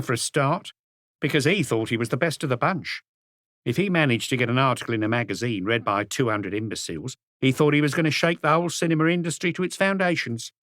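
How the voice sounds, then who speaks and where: A man's voice: medium pitch, fairly bright tone, no echo, clean studio sound, voice pushed up, natural voice. A man voicing a plump, gossipy middle-aged woman who runs a café, in an audiobook reading. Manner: chattering, scornful, indignant.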